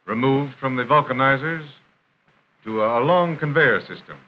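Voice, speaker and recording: An American man reading scripted narration for a 1930s industrial film in a formal, measured announcer style. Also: medium pitch. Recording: dull, noisy 1930s film soundtrack.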